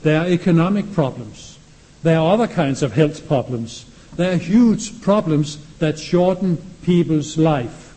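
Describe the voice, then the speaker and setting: A man's voice: a little high, unhurried, mellow, rough recording. A man from Denmark, a native Danish speaker with a Danish accent, giving a classroom lecture and posing rhetorical questions to his listeners.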